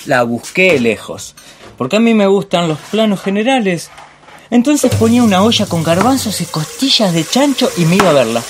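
An actor playing a pompous, bullying master chef and dreamy film snob in a radio play, theatrical. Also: male voice, high-pitched, sing-song, moderate pace, a little hiss or hum. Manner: pompous, digressive, theatrical monologuing.

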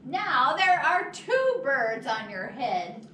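A woman's voice: high-pitched, sing-song, slow pace, fairly bright tone, exaggerated voice. A woman voicing a cheerful, matter-of-fact little pig in a children's picture book, giving simple, spaced-out answers. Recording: echoey, noisy room, far from mic.

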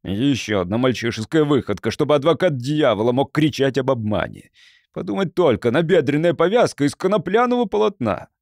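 A man's voice: medium pitch, very animated, brisk, a little mellow, dry recording, studio quality. A man voicing a stern, irritable monastery abbot in an audiobook, with an authoritative manner. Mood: angry, indignant. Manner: scolding, authoritative.

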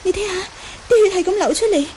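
An innocent young Buddhist nun with a Hong Kong Cantonese accent. Her voice is strikingly high, anxious and halting, played for old radio drama with faint noise in the recording. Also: warm tone, low-quality recording. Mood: worried, pleading. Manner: hesitant, anxious, pleading.

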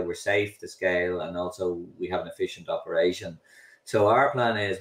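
A middle-aged Irish man, a company founder, explaining his work in a video call interview, often restarting and repeating words. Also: sits low. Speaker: drone delivery company founder, guest. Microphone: webcam and computer mic on a video call. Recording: roomy video call audio, slightly distant.

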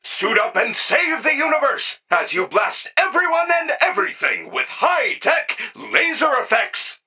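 A man voicing a gentle, earnest animatronic bear in a video game, speaking formally and carefully with few contractions. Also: very high voice, wildly swooping pitch, unhurried, husky, distant mic, full character voice. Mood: booming announcer pitch.

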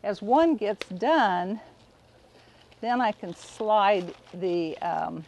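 A middle-aged woman giving a casual, unhurried cooking demonstration, explaining informally as she works outdoors. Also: medium pitch, animated, darkish tone. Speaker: home cook demonstrating a recipe. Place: outdoors in a backyard garden.

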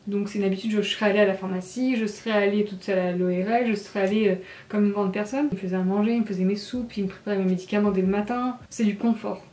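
A young woman talks to camera in an informal, confiding way. She speaks quickly and with little rise or fall in pitch, sounding congested and nasal. The small bathroom adds a clear echo around her voice. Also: steady tone, warm tone. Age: 20s. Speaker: vlogger. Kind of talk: casual chat to camera. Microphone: camera at arm's length. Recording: echoey tiled bathroom.

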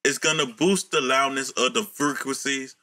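A man in his thirties, a music producer, talking casually through his vocal mixing steps at home into a studio mic. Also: mid-range voice. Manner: relaxed, explanatory, slows down reading settings. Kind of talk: casual tutorial walkthrough. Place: home room. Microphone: studio condenser mic on boom, headphones on.

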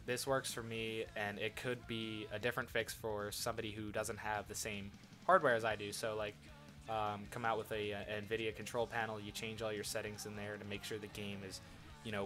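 A young man with a lowish voice sounds stuffed up from a head cold, his words thick and nasal. He rambles casually, restarting sentences and padding them with fillers. Guitar music plays faintly under his home desk-mic recording. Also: pushed and bright. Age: young adult. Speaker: gaming channel host. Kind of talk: casual commentary over gameplay. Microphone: desktop USB microphone.

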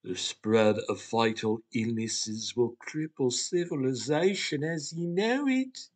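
A man's voice: medium pitch, slow. A man voicing a solemn, prophetic talking snack pastry, male, with a slight English accent and grand, archaic phrasing. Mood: foreboding.

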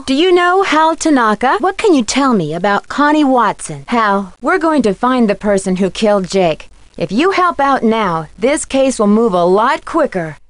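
A woman's voice: fairly high pitch, no echo, close to an everyday voice. An actress playing an earnest, inquisitive teenage girl detective in a video game, with a direct manner. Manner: direct, questioning. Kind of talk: scripted game dialogue.

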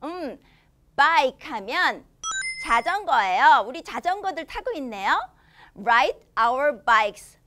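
A woman in her thirties teaching young children English from a storybook in a studio. She reads the English lines slowly and with exaggerated care, then explains them cheerfully in Korean. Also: high-pitched, big pitch swings, noisy background. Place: studio in front of a green screen.

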